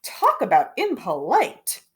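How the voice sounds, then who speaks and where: A woman's voice: fairly high pitch, wildly swooping pitch, takes it slowly, full character voice. A woman voicing a folksy, self-justifying male wolf in a children's storybook read-aloud, confiding and conversational with mock innocence. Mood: mildly indignant.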